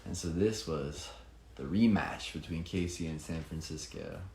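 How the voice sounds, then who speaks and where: A man reading his own children's picture book with expressive emphasis, pausing to explain it casually to a child. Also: extremely low pitch. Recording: echoey room with faint background noise.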